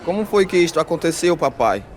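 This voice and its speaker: Amazed young son, noisy background. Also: male voice, fairly high pitch, sing-song, fairly quick. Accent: Brazilian. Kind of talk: radio drama acting.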